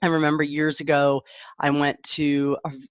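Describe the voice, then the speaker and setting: A woman's voice: sits low, level tone, moderate pace, smooth, dry recording, highs cut off. A middle-aged woman talking candidly and reflectively in a casual live stream as a parent coach, often interrupting herself.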